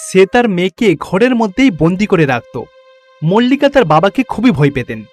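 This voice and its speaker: A man's voice: high-pitched, big pitch swings, brisk, faint music behind. A man reading scripted narration for a children's story as its narrator.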